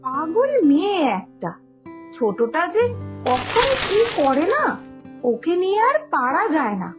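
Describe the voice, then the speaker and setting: A woman voicing a sensible elder sister-in-law in a cartoon story, gently scolding and reassuring. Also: sits high, wildly swooping pitch, slow, full character voice. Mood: fond exasperation. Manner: chiding, reassuring. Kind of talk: Bengali animated moral story dialogue. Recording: music behind the voice.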